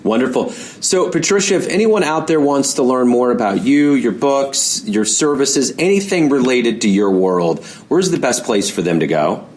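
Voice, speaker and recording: A man's voice: medium pitch, expressive, moderate pace, few pauses, darkish tone. A man hosting an interview in a conversational style, building up to his questions in long, discursive phrases. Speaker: interview host.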